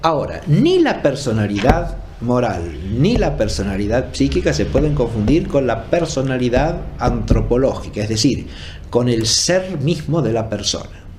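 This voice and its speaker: A man giving an explanatory academic lecture off the cuff, deliberate and often checking for agreement with short tag questions. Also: mid-range voice, very animated, background noise. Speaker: philosophy lecturer.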